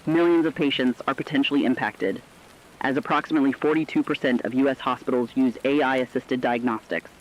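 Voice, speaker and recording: Guy doing a serious radio reporter, middling pitch, formal crisis report, muffled lo-fi broadcast. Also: steady tone, close to an everyday voice. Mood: serious, concerned. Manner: formal, earnest, measured. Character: serious radio correspondent giving crisis analysis. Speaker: news correspondent. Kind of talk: scripted emergency radio news report. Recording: dull lo-fi radio broadcast sound.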